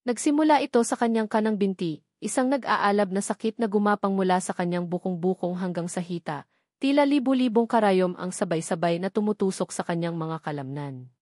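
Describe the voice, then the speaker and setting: A woman reading a romantic drama aloud as its narrator, earnest and measured. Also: medium pitch, darkish tone, no room sound, studio quality. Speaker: storyteller.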